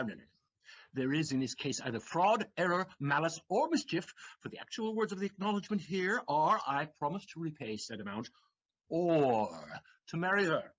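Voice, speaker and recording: Un actor que interpreta a un criado astuto y burlón en una obra de teatro radiofónica, declamando de forma teatral; grabación apagada y de baja fidelidad. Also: male voice, on the high side, fairly bright tone, slightly rough, dull audio. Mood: scornful. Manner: wry, theatrical declaiming with sudden laughs. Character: clever scheming young male valet Figaro. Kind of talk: community theatre audio play.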